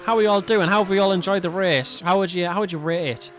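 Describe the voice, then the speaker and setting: Young man, solo race commentator, chatty, self-mocking, slightly hoarse, fairly high voice, car engine noise behind. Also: fast talker, fairly bright tone. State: voice going hoarse. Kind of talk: solo sim racing commentary. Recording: game car sounds behind.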